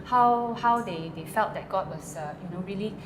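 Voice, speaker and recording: A woman's voice: medium pitch, normal speed, roomy sound, noisy background. A woman, a songwriter answering interview questions hesitantly, with many fillers and restarts. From Malaysia. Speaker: guest songwriter.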